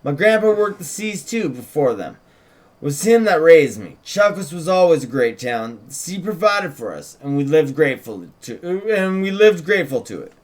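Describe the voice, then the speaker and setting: Man putting on an eager, high-pitched folksy young deputy. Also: sing-song, moderate pace. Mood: folksy, earnest. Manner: folksy, deferential, talkative. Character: eager, courteous young small-town deputy, folksy and chatty. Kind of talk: script table read, in character.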